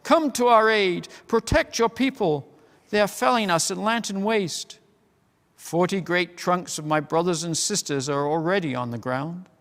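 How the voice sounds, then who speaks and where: Man doing a lamenting female tree spirit for a storybook, high, keening, long reverb. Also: unhurried. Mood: pleading. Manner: wailing, high and loud.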